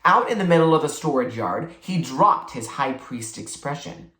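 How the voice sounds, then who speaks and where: A reader narrating a children's novel aloud in expressive storytelling style. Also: mid-range voice, moderate pace. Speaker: book reader. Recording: echoey room.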